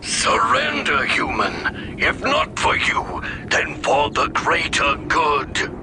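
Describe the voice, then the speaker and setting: An actor playing a proud alien military commander in a video game, measured, imperious and persuasive. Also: male voice, fairly high pitch, huge pitch swings, full character voice. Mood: stern, imperious. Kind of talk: dramatic video game voice acting. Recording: voice amid clear battlefield noise.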